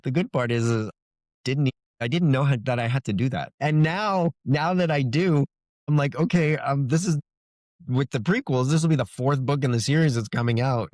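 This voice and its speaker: A man's voice: medium pitch, normal speed, a little mellow, no echo, studio quality. A man speaking as an author guest in a casual interview, earnest and reflective. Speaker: guest, indie fantasy author.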